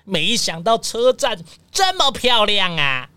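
A woman's voice: medium pitch, sing-song, takes it slowly, heavy background noise. A woman voicing a spoiled, naive rich man, fussy and dramatic, in a children's audio drama.

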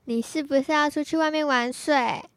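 A schoolboy playing an eager little younger brother in a children's radio drama. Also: male voice, extremely high pitch, steady tone, normal speed, background noise.

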